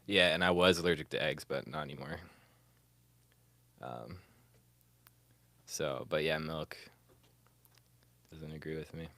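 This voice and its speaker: A man's voice: very deep voice, a little slow, creaky, long pauses, fairly bright tone, no room sound. A man telling stories as a podcast guest in casual, slangy, informal conversation. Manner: informal, slangy, says bro and like. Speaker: podcast guest, music producer. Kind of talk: casual chat, storytelling.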